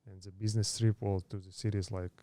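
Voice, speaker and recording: Low mellow-voiced man in his thirties. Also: flat delivery, no room sound. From Kazakhstan. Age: 30s. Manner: halting, many fillers and restarts. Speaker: podcast guest. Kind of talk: casual interview storytelling.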